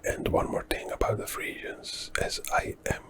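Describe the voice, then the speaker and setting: A Dutch man, a native Dutch speaker, whispering softly through a relaxed ASMR history walkthrough and thinking aloud as he goes. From Netherlands. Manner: soft unvoiced whisper, unhurried, thinking aloud with fillers. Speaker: ASMR history channel host.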